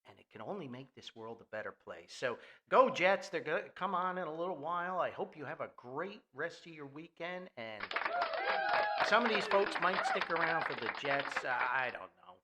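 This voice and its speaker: A man's voice: mid-range voice, sharp tone, a little hiss or hum. An older man hosting a casual, rambling podcast in a folksy, jokey manner. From Paterson, New Jersey, US. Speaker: podcast host.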